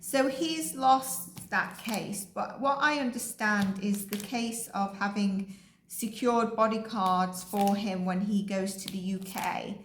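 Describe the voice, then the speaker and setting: A woman giving a casual psychic reading, rambling and filling her pauses with "um" and "like". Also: mid-range voice, slight background noise. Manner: rambling, with frequent ums and likes. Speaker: psychic energy reader. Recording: echoey room.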